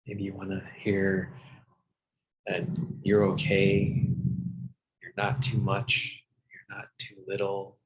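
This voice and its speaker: Male meditation guide: low, very unhurried, gentle delivery with soothing pauses. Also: completely flat delivery, lo-fi sound. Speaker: meditation teacher.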